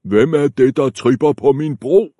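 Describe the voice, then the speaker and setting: A man voicing a menacing troll who guards a bridge, shouting his threats. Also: mid-range voice, animated, dry recording, clean studio sound. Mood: bellowing.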